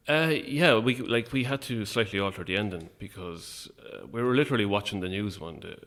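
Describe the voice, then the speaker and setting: A man's voice: lowish voice, animated, a little fast, a bit bright. An Irish man chatting casually as an interview guest, a little hesitant and self-effacing. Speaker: guest, playwright.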